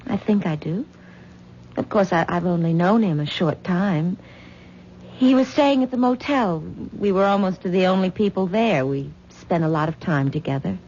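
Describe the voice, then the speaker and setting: A woman's voice: fairly low pitch, moderate pace, darkish tone, a little hiss or hum, lightly acted. A woman playing a weary, hesitant young wife. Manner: subdued, hesitant. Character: weary young wife, Tessa, leaving husband. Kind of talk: 1970s radio drama acting. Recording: dull old radio broadcast recording.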